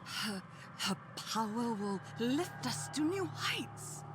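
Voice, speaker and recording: An actress playing a sinister, scheming female cultist in a video game, speaking in a measured, theatrical way. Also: a little high, very animated, music in the background. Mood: gloating reverence. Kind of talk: dramatic video game dialogue.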